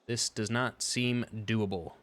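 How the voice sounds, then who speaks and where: A man's voice: fairly low pitch, steady tone, takes it slowly, fairly bright tone, a little hiss or hum. A man with a Midwestern accent casting an esports match, calling the play and joking with chat. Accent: Midwestern American. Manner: loose, joking, talks back to chat. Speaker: esports caster. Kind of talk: play-by-play commentary mixed with chat replies.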